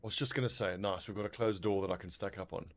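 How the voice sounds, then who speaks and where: Low-voiced man thinking aloud while gaming. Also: fast, a little hiss or hum. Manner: thinks aloud, swears casually. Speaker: gaming video host. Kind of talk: casual let's-play commentary.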